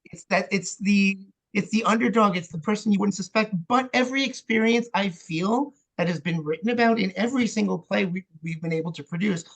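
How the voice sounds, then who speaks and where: A man's voice: high voice, a little fast, vocal fry, hardly any pauses, fairly bright tone, rough recording. A man in a casual conversation about theatre, explaining his thoughts earnestly.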